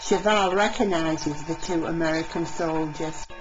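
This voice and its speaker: A woman narrates a homemade audio drama from a script. Her voice sits fairly low, and the recording is dull with clear noise around it. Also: unhurried.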